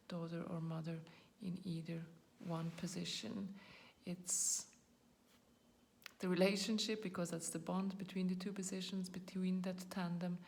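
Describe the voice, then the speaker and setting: Host, woman, 40s, non-native English, flat gravelly delivery, soft faint noise behind, reflective and searching for words. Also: lowish voice. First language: not English. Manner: reflective, searching for words, often trails into a whisper. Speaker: YouTube channel host. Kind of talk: personal talk to camera.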